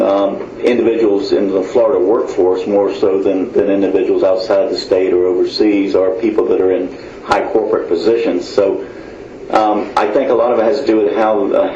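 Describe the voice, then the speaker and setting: Male staffer on a conference call: dark, monotone delivery, careful and halting, echoing line. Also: low-pitched. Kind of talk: work meeting discussion on a conference call. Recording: muffled, echoey phone conference, faint noise.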